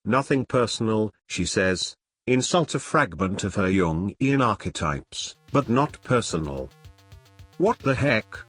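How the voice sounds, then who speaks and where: A man playing a pompous, sardonic robot animus, exasperated and theatrical, in a scripted comedy sketch, with a husky voice. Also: on the low side, expressive, unhurried, fairly bright tone, no echo, soft background music, exaggerated voice. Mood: indignant. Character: fussy, exasperated robot eyeball, a sarcastic male animus.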